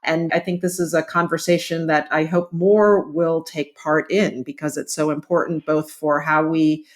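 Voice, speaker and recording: A woman with a slightly low voice hosts a professional podcast. She speaks articulately and in measured phrases, in the manner of an editor summing up a discussion. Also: flat delivery. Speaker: podcast host, journal editor. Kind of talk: interview hosting.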